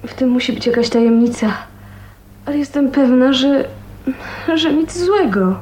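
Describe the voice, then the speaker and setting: An actress playing a gentle, resigned, ailing young woman in a radio drama, hesitant and soft-hearted. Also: sits high, normal speed, slight background noise, close to an everyday voice. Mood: thoughtful. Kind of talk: Polish radio drama acting.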